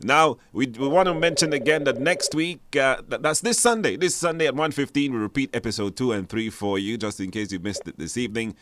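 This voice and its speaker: Guy hosting a radio call-in show, chatty, repeats himself a lot, medium pitch. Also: fairly quick, few pauses, a bit bright, slight background noise. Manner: repeats phrases, chatty and informal. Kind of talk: live radio hosting, taking phone-in calls. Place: radio studio.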